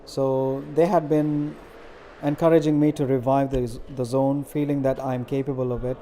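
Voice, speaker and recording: A middle-aged man answers questions earnestly and at a measured pace, pausing often on fillers. His voice is mellow and dark, sitting in an ordinary middle pitch. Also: little pitch movement, a little hiss or hum. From India. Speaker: interviewee, community organizer. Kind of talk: interview answers.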